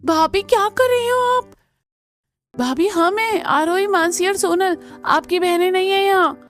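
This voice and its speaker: A woman's voice: very high voice, a little slow, a bit bright. A woman voicing a protective, spirited young sister-in-law with bold, emphatic delivery in a cartoon family melodrama. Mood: alarmed, concerned.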